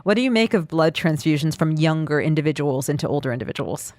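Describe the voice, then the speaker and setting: Low-voiced woman hosting in a studio. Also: expressive, quick pace, darkish tone, no echo. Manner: direct, prompting questions. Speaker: host.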